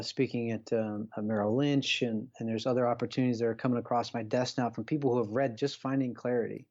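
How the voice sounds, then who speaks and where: Kinda dull lo-fi audio, guy guesting on a podcast, casual, halting, effusive, mid pitch. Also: darkish tone. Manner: effusively grateful, informal, halting. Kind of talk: casual conversation.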